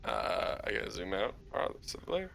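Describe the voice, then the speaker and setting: A man chats casually as a player in a tabletop game, joking and swearing freely. His voice is fairly low and gravelly with a bright edge, on a faintly noisy mic. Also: very animated, normal speed. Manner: informal, sweary.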